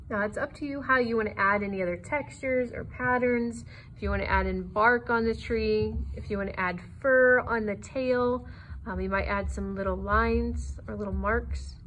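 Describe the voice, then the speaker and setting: A woman's voice: mid-range voice, fairly bright tone, a little hiss or hum, low-quality recording. A woman art teacher giving an easygoing, encouraging step-by-step drawing tutorial.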